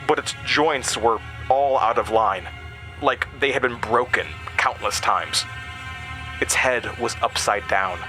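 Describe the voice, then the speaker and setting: A man's voice: mid-range voice, low-quality recording. A man narrating a horror audio drama in the first person, with a grave, suspenseful delivery. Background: music.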